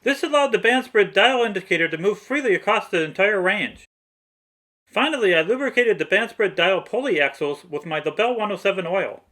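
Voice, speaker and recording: A man's voice: high voice, expressive, brisk, bright, forceful tone. A man reading scripted how-to narration for a hobby restoration in a methodical, matter-of-fact way. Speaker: hobbyist restorer narrating own repair video.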